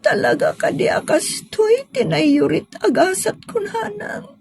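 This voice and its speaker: A woman's voice: extremely high pitch, animated, unhurried, vocal fry, a little mellow, slight background noise, heavily acted. A woman playing a grieving young daughter in a sentimental audio drama, speaking plaintively and hesitantly. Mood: sad, reluctant. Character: grieving young daughter pining for her lost sweetheart.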